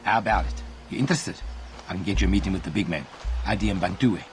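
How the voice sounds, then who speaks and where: Deep-voiced mercenary. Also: male voice, quick pace. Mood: persuasive. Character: tough male mercenary arms trader, casual and shrewd. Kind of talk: video game cutscene acting. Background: music.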